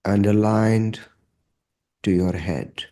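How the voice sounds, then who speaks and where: Male meditation guide, mellow tone, fairly low pitch, calm and measured, short phrases with long pauses.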